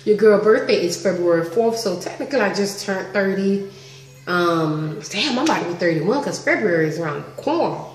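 A woman in her thirties chatting casually on a home vlog, animated and talkative, recorded on a webcam in her living room. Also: lowish voice, fairly quick, echoey room. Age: 30s. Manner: chatty, animated with lots of hand gestures. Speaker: vlogger. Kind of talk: casual story-time vlog. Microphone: webcam close up.

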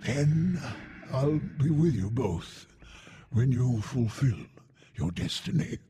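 A man's voice: medium pitch, animated, takes it slowly, background noise. A man voicing a weary, sardonic old robot warrior in a video game, with a wry, grumbling, fatherly manner. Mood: weary, solemn. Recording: loud music behind.

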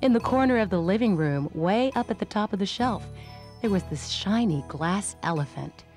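A woman's voice: medium pitch, expressive, normal speed, darkish tone, faint music behind. A woman reading scripted narration for a children's storybook. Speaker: storybook reader.

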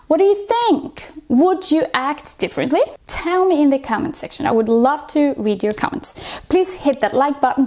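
Higher-voiced woman from Ecuador, explaining. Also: a little mellow. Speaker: host of a multilingual parenting channel. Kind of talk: explanatory question-and-answer to camera. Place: small indoor room, plain wall.

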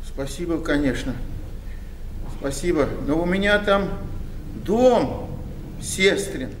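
An actor in a radio play voicing a kindly, awkward old countryman, halting and plain-spoken. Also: a little high, animated. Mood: gloomy, reluctant. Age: older. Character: awkward, kindly old village widower, reluctant suitor. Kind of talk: 1990 Russian radio play acting. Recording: roomy, distant radio recording with faint hiss.

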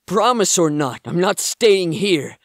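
Man acting cheeky stubborn young guy, bouncy pitch, dry sound, audio drama. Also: expressive, takes it slowly, creaky, fairly bright tone, dry recording, heavily acted. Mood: defensive.

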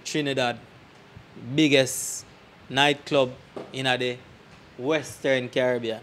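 A man's voice: mid-range voice, fairly even intonation, takes it slowly, fairly bright tone. Jamaican man storytelling over background noise. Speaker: interview guest, dancer recalling tours. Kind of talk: casual anecdotal storytelling in patois. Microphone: handheld microphone. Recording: clear background noise and ambience.

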